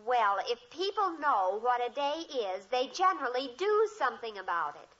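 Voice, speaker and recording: High-voiced indignant American teenage girl. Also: sing-song, moderate pace, fairly bright tone. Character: dramatic, sarcastic, indignant teenage daughter. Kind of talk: 1950s radio sitcom acting. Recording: muffled, noisy old radio recording.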